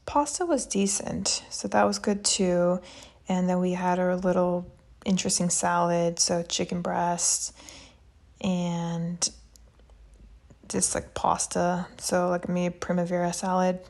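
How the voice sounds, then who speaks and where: Low-pitched, creaky-voiced woman vlogger. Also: fairly even intonation, slow, a little mellow. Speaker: travel vlogger. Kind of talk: casual vlog voice-over reviewing an experience.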